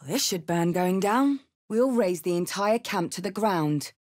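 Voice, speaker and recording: A woman's voice: medium pitch, animated, measured pace, darkish tone, lightly acted. A woman voicing a tough, brash tiefling warrior in a video game, with a blunt, wry, casually sweary manner. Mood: determined, wry. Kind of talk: video game character barks and remarks.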